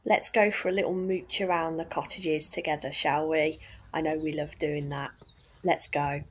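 A woman from England talks through a walking tour of old homes. Her voice sits a little low and has a husky, slightly breathy edge. She narrates in a chatty, enthusiastic way, as if showing a friend around. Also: little pitch movement, moderate pace. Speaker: vlogger and tour guide.

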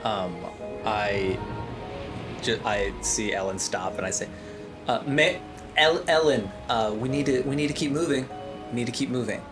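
A man's voice: mid-range voice, moderate pace, long pauses, over music. A man playing a tabletop game, improvising casually in character with hesitant, stop-start phrasing.

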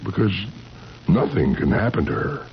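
An actor playing a weary, distressed seventy-year-old husband in a 1970s radio drama, halting and repeating himself with quiet insistence. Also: male voice, low-pitched, very animated, gravelly, exaggerated voice. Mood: evasive, halting. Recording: dull, noisy vintage radio recording.

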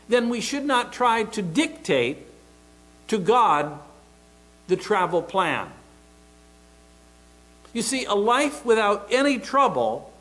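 A middle-aged American pastor preaching at a church pulpit, his voice high for a man. He takes his time, landing each phrase slowly and deliberately, with a faint electrical hum under the pulpit mic. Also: fairly bright tone, poor audio. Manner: emphatic, deliberate preaching with open hand gestures. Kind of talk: sermon. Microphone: pulpit microphone.

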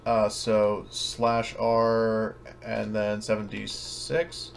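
Man, low voice, game master, halting casual narration, background noise. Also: monotone. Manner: hesitant, halting, self-correcting. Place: at home, online voice session. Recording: clearly noisy home recording.

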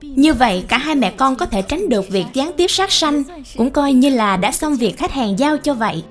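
A woman's voice: high-pitched, animated, moderate pace. A woman reading scripted story narration as the narrator of a moral tale. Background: soft music.

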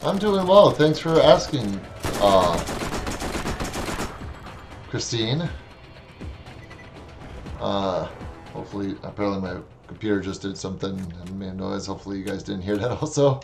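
A man's voice: lowish voice, expressive, measured pace, creaky, pauses often, over music. A man in his thirties from Wisconsin, with a Wisconsin accent, chatting casually and wryly while streaming a game from his room on a headset mic. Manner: chatty, wry, talks back to the game. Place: small room at home.

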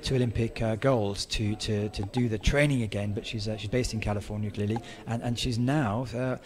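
A man commentating a canoe race in a casual, halting way, with many restarts and fillers. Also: fairly low pitch, steady tone. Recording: crowd noise behind. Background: crowd at a regatta.

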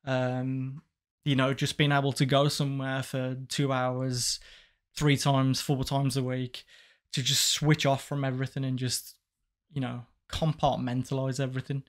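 A man's voice: medium pitch, little pitch movement, measured pace. A young man, co-hosting a casual podcast in a small home studio and telling crude stories in a laid-back way. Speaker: podcast co-host. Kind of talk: casual chat. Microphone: studio mic on boom arm, headphones.

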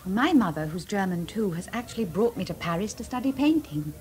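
A woman's voice: medium pitch, very animated, brisk, a little mellow, natural voice. An actress playing a frank, slightly self-deprecating young woman painter in a 1980s radio drama. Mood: earnest. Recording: party crowd behind.

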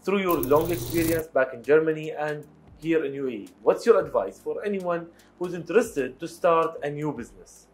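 A man's voice: a little high, a little hiss or hum. A man hosting a business interview show, asking formal, scripted questions. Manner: rapid, scripted delivery.

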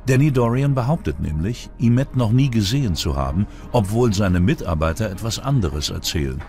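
A man's voice: on the low side, expressive, a little fast, dark tone, soft background music. A man reads scripted true-crime documentary narration.

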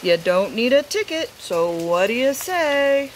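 A woman voicing a big friendly whale in a storybook, loud, hearty and drawn out, with rain noise behind. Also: on the high side, sing-song, measured pace, pitched above the performer's own voice.

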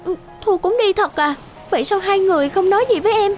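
A woman voicing a gentle, anxious young village wife in a cartoon, soft and pleading, with music behind. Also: very high voice, fast talker, pitched above the performer's own voice. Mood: suspicious, worried. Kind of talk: animated folk-tale dubbing. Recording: music under the voice.

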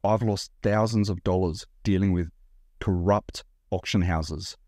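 A man in his forties with an Australian accent, talking straight to camera about reselling in a blunt, animated way. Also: low-pitched, measured pace, no echo, clean studio sound. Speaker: reseller vlogger. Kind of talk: direct-to-camera vlog talk. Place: indoor room by large window.

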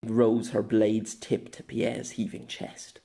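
A man's voice: lowish voice, monotone, slow pace, vocal fry, dark tone, a little hiss or hum. A man giving a scripted narration of a fantasy story.

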